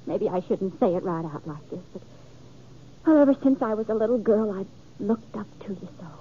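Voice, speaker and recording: A woman playing a sweet, adoring American small-town young woman in a 1950s radio western, gushing and halting. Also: medium pitch, lively intonation, mellow, lo-fi sound, close to an everyday voice. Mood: adoring, nervous. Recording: thin, faintly noisy old radio recording.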